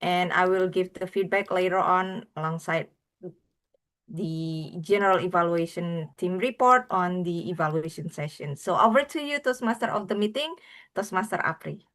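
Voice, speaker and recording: A woman's voice: fairly low pitch, moderate pace, a bit bright. A woman whose first language is Indonesian, speaking as general evaluator and politely introducing the team over a video call. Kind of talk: formal meeting hosting.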